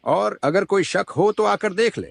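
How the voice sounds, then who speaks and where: A man's voice: high-pitched, animated, unhurried, gravelly, no room sound, heavily acted. A man voicing a proud lion king in a children's cartoon, speaking in a declamatory way. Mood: boastful. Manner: boastful, declamatory. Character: arrogant, bullying lion king of the jungle. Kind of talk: cartoon moral story acting in Hindi. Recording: loud music behind.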